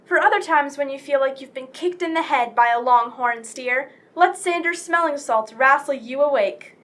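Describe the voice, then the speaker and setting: An actress playing a folksy, cheery pitchwoman in an old-time radio commercial. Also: moderate pace, a bit bright. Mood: chipper. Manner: folksy, punchy. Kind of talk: 1940s radio commercial acting.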